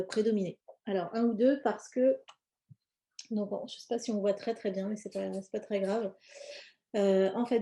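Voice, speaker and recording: Mellow-voiced woman presenting, often hesitant. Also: a little high. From born in India, grew up in France. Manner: hesitant, pausing often mid-phrase. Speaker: presenter, cosmetics brand founder. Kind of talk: informal live presentation, explanatory talk.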